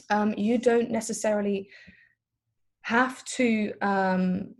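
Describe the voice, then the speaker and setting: A woman's voice: medium pitch, a little mellow. A woman of about thirty from the Netherlands, a native Dutch speaker, giving an informal career talk to young people in a chatty, explanatory way as a personal trainer. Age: around 30. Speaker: personal trainer and business owner.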